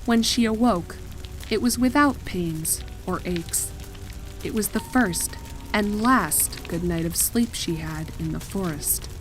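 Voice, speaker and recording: Slow, measured woman narrating horror fiction. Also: medium pitch, soft background music. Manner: measured, deliberate. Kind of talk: scripted dramatic horror story narration.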